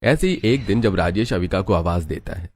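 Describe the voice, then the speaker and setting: Low-pitched man narrating a story. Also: animated, normal speed, a little hiss or hum.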